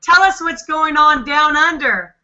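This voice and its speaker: Bright, high-voiced woman hosting a call. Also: a little slow. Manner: enthusiastic, effusive praise. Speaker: online call host. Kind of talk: upbeat network marketing hosting. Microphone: video call. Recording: lo-fi video call audio.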